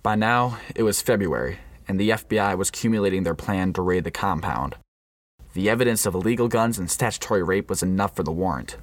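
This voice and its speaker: A man playing an uneasy undercover federal agent in a scripted radio play, with a measured, solemn delivery. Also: deep voice, dry recording. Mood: grave, reflective. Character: undercover male FBI agent narrating a compound infiltration, uneasy. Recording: faint background noise.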